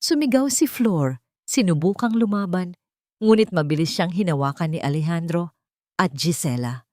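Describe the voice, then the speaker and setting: A Filipino woman narrates a dramatic audiobook in a low-pitched voice. The sound is dry and close, with very little room in it. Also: expressive, moderate pace, mellow, dry recording. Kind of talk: dramatic scripted story narration.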